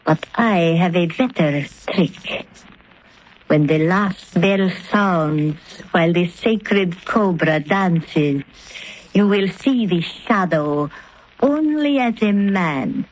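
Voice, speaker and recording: An old radio play villainess, snake charmer, low slow menacing voice, theatrical. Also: female voice, low-quality recording. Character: cunning woman snake charmer, villainous and proud. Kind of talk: 1930s radio drama acting.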